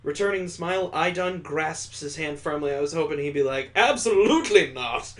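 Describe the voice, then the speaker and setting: Higher-voiced man riffing through a game. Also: little pitch movement, a little fast. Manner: sarcastic, irreverent. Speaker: gaming video host. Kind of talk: casual let's-play reading with joking commentary.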